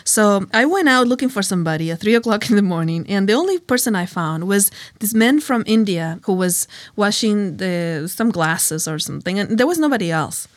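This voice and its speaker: A woman with a Spanish accent co-hosting a Bible teaching show, talking in a lively, chatty way with frequent fillers and laughter. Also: medium pitch, moderate pace, hardly any pauses, no room sound. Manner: animated storyteller, laughs often, many fillers. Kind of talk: conversational Bible study chat.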